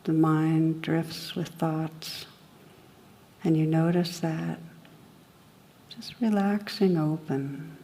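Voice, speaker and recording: A woman guiding a meditation in a gentle, soothing manner, speaking in short phrases with long pauses. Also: sits low, fairly even intonation. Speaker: meditation guide. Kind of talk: guided meditation.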